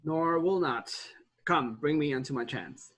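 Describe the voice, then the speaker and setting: A man playing a boastful, lovelorn noble suitor in a theatrical Shakespeare reading, declamatory but halting. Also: a little high, a bit bright, muffled recording. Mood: resolute.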